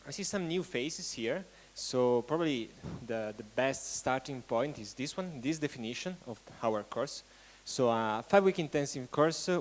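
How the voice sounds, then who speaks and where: A man hosting an event, giving a halting welcome speech to an audience and often restarting his phrases. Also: medium pitch, rough recording. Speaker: event host.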